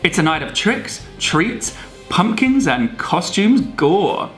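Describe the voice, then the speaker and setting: A man's voice: sits high, big pitch swings, slow, fairly bright tone, soft background music. A man co-presenting a scripted explainer with comic banter, in a jokey, theatrical manner. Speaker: video co-presenter.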